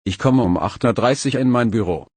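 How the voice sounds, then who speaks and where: A man reading practice phrases one by one for learners, slow and careful. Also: medium pitch, darkish tone, slightly rough, no echo. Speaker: phrase reader.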